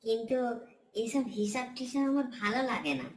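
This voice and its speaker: A woman's voice: a little high, expressive, moderate pace, natural voice, low-quality recording. A woman voicing an earnest, polite, independent-minded young son in a village cartoon. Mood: reluctant, earnest. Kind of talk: cartoon voice acting.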